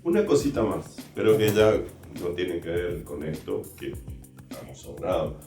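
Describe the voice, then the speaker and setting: A man's voice: low voice, normal speed, mellow. An Argentine man hosting a casual, chatty interview. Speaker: interviewer, host. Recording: roomy, slightly distant mic, faint music.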